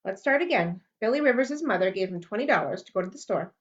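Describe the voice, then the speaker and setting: A woman's voice: medium pitch, animated, quick pace, close to an everyday voice. A woman doing a patient, teacherly mother. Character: patient, gently exasperated homeschooling mother. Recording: dull lo-fi recording.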